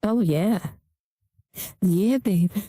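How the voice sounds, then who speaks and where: A man's voice: sits high, drawn-out words, dark tone, dry recording, clean studio sound, voice pushed up, heavily acted. A man speaking through a voice changer as a woman, playful and drawn out. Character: woman voice preset, sultry.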